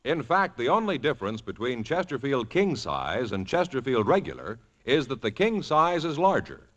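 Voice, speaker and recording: A man's voice: medium pitch, very animated, normal speed. A man working as a radio announcer, reading a sponsor advertisement in 1950s style. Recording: dull vintage radio recording.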